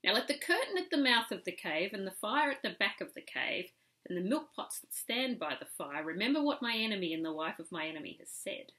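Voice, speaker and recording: A woman's voice: medium pitch, big pitch swings, fairly quick, sharp tone. A woman voicing a proud, wily, independent wild tomcat in a children's storybook, speaking in a declamatory, self-possessed way. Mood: triumphant.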